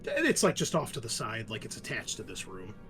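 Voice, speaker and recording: A man acting as game master, casually improvising narration for a tabletop game in a halting, trailing delivery. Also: fairly low pitch, very animated, fairly bright tone, faint music behind.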